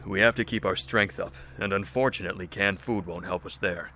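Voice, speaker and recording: Male actor as composed camp leader, deep voice, forward bright tone, dry, articulate, audio drama. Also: fairly even intonation, brisk, soft background music. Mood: calm, wry. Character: calm, measured, dryly witty male camp leader. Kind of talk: dramatic audio acting, naturalistic dialogue.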